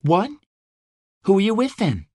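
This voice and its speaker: A woman's voice: medium pitch, huge pitch swings, measured pace, dry recording, clean studio sound, pitched above the performer's own voice. A male narrator voicing a fierce young woman who leads mercenaries under siege, blunt and swearing freely. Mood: incredulous, pleading. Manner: sharp-tongued, swearing, blunt.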